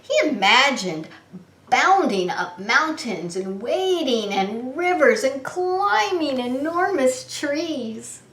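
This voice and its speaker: Very slow, expressive woman reading a storybook. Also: high-pitched. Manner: dramatic, drawn-out emphasis for small children. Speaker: preschool story time host. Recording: roomy, echoing sound.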